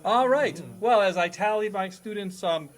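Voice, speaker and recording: Guy hosting a joke classroom quiz, kinda high voice, talks like a teacher being silly. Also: moderate pace. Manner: mock-formal, teacherly, jokey. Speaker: host playing teacher of a comedy panel game.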